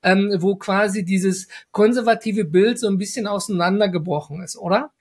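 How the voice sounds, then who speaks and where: A man's voice: high voice, moderate pace, breathy edge. A man in his thirties hosting a casual business podcast interview, talking in loose, rambling questions with many fillers. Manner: chatty, filler-heavy, loose run-on questions. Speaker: podcast host.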